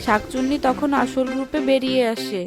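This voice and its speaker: A woman's voice: high voice, steady tone, moderate pace. A woman giving scripted narration of a fairy tale for children. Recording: music behind the voice.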